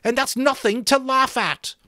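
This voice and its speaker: A man's voice: measured pace, pushed and bright, dry recording, studio quality, exaggerated voice. A man voicing an indignant, panicky schoolboy outcast, whining and protesting in a dramatic novel reading. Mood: indignant scolding. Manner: shrill, whining, protesting, gasping delivery.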